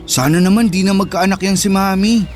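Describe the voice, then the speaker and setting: A man's voice: sits high, a little fast, close to an everyday voice. A man with a Filipino accent playing a sensitive, neglected older brother in an earnest, emotive radio drama style. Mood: hurt, resentful. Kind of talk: Tagalog radio drama acting. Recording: music under the voice.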